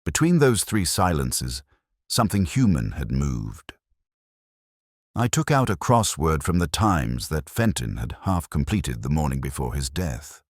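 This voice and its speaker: Very deep-voiced man narrating a mystery. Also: very animated, unhurried, dry recording, studio quality. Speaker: audio drama narrator. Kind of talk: scripted first-person narration of a detective mystery.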